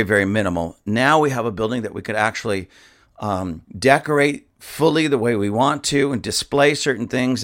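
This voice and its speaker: A man, a pastor, giving impassioned podcast commentary with an indignant, emphatic, repetitive manner and a hoarse, dusty throat. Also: medium pitch, fairly bright tone.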